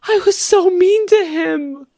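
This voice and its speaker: A woman's voice: very high voice, slow pace, creaky, dark tone, no room sound, clean studio sound. A woman playing a tabletop role-playing game, chatting casually and thinking aloud with some hesitation. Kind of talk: casual improvised game chat.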